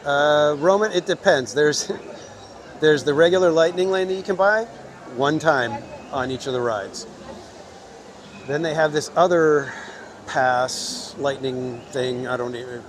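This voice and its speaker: A man's voice: on the high side, measured pace. A man hosting a casual live stream outdoors, chatting and joking with viewers and reading their names. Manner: chatty, joking, reads chat names aloud. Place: outdoors in a crowded theme park. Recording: outdoor with loud crowd.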